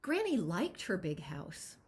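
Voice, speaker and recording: A woman's voice: on the low side, wildly swooping pitch, slow, husky. A woman who writes picture books, speaking expressively to children as she introduces and reads a storybook, with emphasis on key words. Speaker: children's picture book author. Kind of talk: chatty introduction and animated storybook read-aloud.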